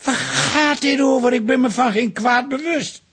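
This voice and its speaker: An actor playing a tired, blunt, bewildered husband in a radio drama, middle-aged. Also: male voice, very high voice, moderate pace, a bit bright, breathy edge, heavily acted. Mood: exasperated, baffled. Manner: blunt, grumbling, tactless.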